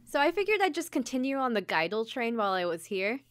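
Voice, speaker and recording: A woman's voice: fairly high pitch, sing-song, fast talker. Casual, apologetic woman podcaster. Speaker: podcast co-host. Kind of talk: casual chat about anime.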